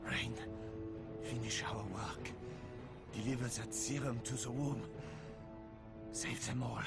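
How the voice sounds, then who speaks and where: A man's voice: mid-range voice, fairly even intonation, takes it slowly, creaky. An actor playing a stubborn, urgent old male scientist in a video game cutscene. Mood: dying, pleading. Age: older. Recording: game audio under loud music.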